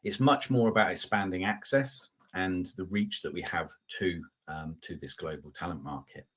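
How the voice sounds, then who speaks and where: A man's voice: low-pitched, normal speed, fairly bright tone. A middle-aged man presenting a product webinar over a video call, talking conversationally with polite asides, fillers and restarts. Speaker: customer success manager presenting a webinar. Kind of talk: live product webinar with slides and screen share. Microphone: video call webcam.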